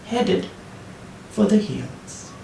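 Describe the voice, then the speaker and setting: A woman reading a children's story expressively as a teacher, with deliberate phrasing and dramatic pauses. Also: dark tone. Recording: roomy, distant, faint noise.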